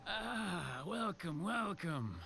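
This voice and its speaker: Friendly old carpenter, lightish voice. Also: male voice, high voice, sing-song, drawn-out words, creaky, pushed and bright, soft background music, exaggerated voice. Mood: welcoming. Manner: hesitant, chuckling, hospitable. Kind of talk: video game character acting.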